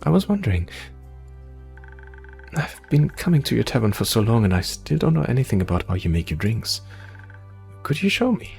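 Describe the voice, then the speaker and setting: Man voicing an eager, gentle, lilting fantasy ranger. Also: medium pitch, very animated, normal speed, vocal fry, pauses often, soft background music, voice pushed up. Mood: curious, excited. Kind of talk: reading video game dialogue aloud in character.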